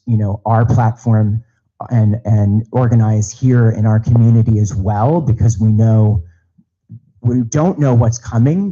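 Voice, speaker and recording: A man's voice: lowish voice, dark tone, muffled recording. A man on a party committee giving an earnest speech at a public meeting in a large auditorium, repeating phrases as he goes.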